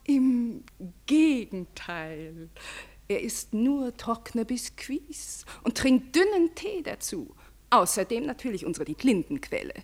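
Radio drama: deferential, gossipy aristocratic lady. High, very animated voice, effusive delivery, theatrical emphasis. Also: exaggerated voice. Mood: confiding. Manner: effusive, dramatic storytelling with emphatic drawn-out words. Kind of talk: German radio play acting.

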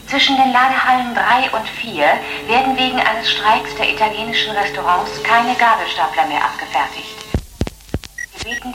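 A woman playing a deadpan dockyard announcer in a satirical radio play, formal and matter-of-fact. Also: medium pitch, lightly acted. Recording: echoing public-address announcement over music.

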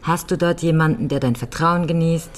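An actress in a radio crime play playing a shrewd lawyer with a dry, pointed, matter-of-fact manner. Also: female voice, low voice, moderate pace, natural voice. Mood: businesslike. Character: dry, shrewd woman lawyer with a grown son.

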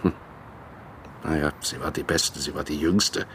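An older man acting in a radio drama as a gruff, wryly nostalgic East German dog handler who talks in unhurried, terse phrases. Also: rumbling low, steady tone, a bit bright, a little hiss or hum, exaggerated voice.

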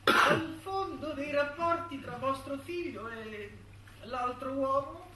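An actor playing a prudish, hesitant, insinuating priest as a theatrical caricature. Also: male voice, very high voice, animated, slow, bright, forceful tone, heavily acted. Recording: roomy distant stage recording, faint noise.

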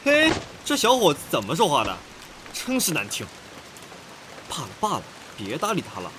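A man's voice: fairly high pitch, huge pitch swings, normal speed, background noise. An actor playing a coarse, taunting male traveller in a costume audio drama, a scornful sidekick. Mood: offended scorn.

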